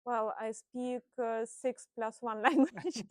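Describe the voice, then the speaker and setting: A woman's voice: fairly high pitch, steady tone, slow pace, darkish tone, dry recording, clean studio sound. Woman, thoughtful panelist. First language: Russian. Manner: thoughtful, frequent "right?" tags and fillers. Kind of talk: panel discussion.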